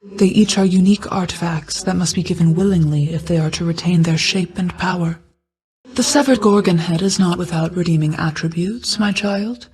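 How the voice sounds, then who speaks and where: A woman voicing an ancient goddess of night in a video game, a solemn, caring mother who speaks in a formal, measured, reserved way. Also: fairly low pitch, a little mellow, natural voice, rough recording. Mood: solemn, approving. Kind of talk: video game character dialogue.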